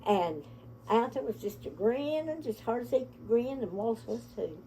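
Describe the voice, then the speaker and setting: A woman's voice: a little high, very animated, moderate pace, mellow, rough recording. An older woman with an Appalachian accent, telling family stories in a casual, folksy, rambling way. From Appalachia, United States. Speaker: family storyteller, grandmother. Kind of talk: casual reminiscing with relatives.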